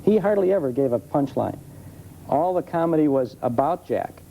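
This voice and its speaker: A man's voice: a little high, expressive, moderate pace, vocal fry, mellow, low-quality recording. A man speaking conversationally as an expert guest, telling stories with enthusiasm on an old television tape. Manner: enthusiastic storytelling. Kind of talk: conversational interview. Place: television studio. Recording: old TV tape, faint noise.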